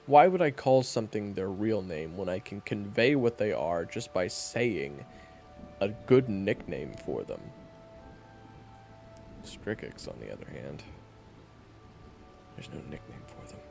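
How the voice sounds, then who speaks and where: A man playing a sardonic, guarded monster hunter in a fantasy audio roleplay, with a gravelly voice and a dry, teasing, bluntly dismissive manner. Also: on the low side, very animated, unhurried, long pauses, a little mellow, soft background music, voice pushed up, exaggerated voice. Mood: animated, dismissive. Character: sardonic, guarded young male monster hunter, begrudgingly chatty. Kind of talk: fantasy audio roleplay acting, addressed to the listener.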